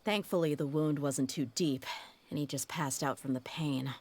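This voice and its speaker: Blunt tough merc lady in a game, low voice, cool and steady. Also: moderate pace. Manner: blunt, steady, coolly threatening. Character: tough, blunt female desert mercenary, young adult. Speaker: game character. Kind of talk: video game voice acting.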